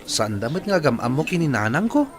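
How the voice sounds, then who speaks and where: A man's voice: mid-range voice, very animated, brisk, crowd noise, natural voice. A man acting a guarded, stubborn and resentful character in a radio comedy drama. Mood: puzzled.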